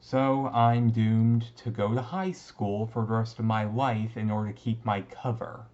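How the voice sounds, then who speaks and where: Casting: male actor as brooding yet easygoing teen vampire for ASMR roleplay; low, slow, halting delivery, slightly muffled recording. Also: darkish tone, lightly acted. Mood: resigned, confiding. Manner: halting, conversational, mildly resigned. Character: brooding, easygoing male teenage vampire classmate, secretly thirty-seven. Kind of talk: ASMR roleplay, casual intimate talk.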